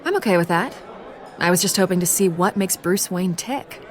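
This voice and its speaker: A brisk, persistent woman reporter played by an actress in a game drama. Her low voice is cool, dry and assertive, with a faint crowd in the background. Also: lightly acted. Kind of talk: dramatic video game dialogue. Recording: faint party crowd behind voice.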